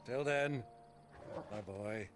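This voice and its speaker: A man playing a middle-aged, affectionate gentleman adventurer and uncle in a video game, courtly and wry. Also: fairly low pitch, extremely slow, over music. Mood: fond, jaunty. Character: warm, roguish older uncle and gentleman adventurer. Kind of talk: dramatic video game acting.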